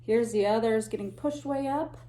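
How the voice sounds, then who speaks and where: A woman teacher reading a picture book aloud to children, pausing to point out the pictures and dropping to a whisper or a gasp at tense moments. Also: on the high side, rough recording. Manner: animated, gasps and whispers for suspense, pauses to point out pictures. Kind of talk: expressive read-aloud storytime.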